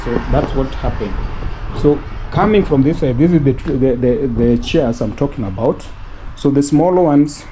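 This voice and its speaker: A man from Kenya casually showing a house in a vlog, talking haltingly with many fillers and restarts. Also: medium pitch, noisy background. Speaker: vlogger touring a house. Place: outdoors at a rural house. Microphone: handheld camera.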